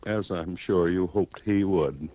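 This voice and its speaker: Casting: cold, authoritative judge, lowish voice, formal delivery, dry and dull vintage radio sound. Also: male voice, slow, mellow, dry recording. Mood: stern, explaining. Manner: stern, formal, clipped. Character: stern, cold-blooded male judge, dryly authoritative. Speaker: radio drama actor. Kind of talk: 1950s radio drama acting.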